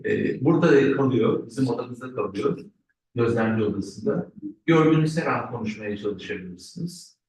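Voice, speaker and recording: A chatty host who encourages the audience. He has a mid-pitched male voice. He sounds far from the mic in a very echoey room. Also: lively intonation, moderate pace, darkish tone.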